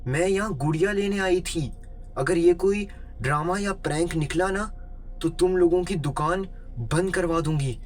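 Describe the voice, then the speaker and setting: A man voicing a frightened, angry girl in a horror story, shouting indignantly at those around her. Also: high-pitched, moderate pace, pitched above the performer's own voice, close to an everyday voice. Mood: furious. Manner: screaming, indignant. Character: frightened, angry girl customer threatening others. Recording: music behind the voice.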